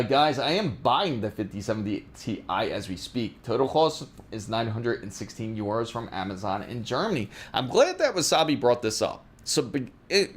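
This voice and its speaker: A man hosting a live tech stream, talking casually and reading viewer questions aloud, with plenty of fillers and restarts. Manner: lively pitch. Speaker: tech livestream host answering chat questions.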